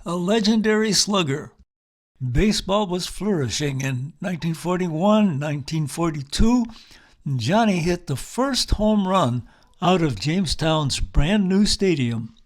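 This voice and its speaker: A man narrating local history as a storyteller, in measured phrases. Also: high voice. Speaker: tour narrator.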